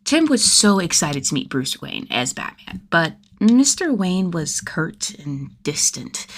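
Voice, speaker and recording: Female narrator for fan fiction audiobook: lowish pitch, expressive and conversational read with youthful enthusiasm. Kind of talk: animated scripted story narration.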